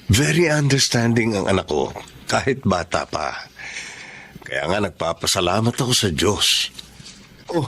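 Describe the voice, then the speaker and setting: A man's voice: medium pitch, a bit bright, exaggerated voice. A man acting an earnest, middle-aged single father in a Filipino radio-style drama. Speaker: voice actor.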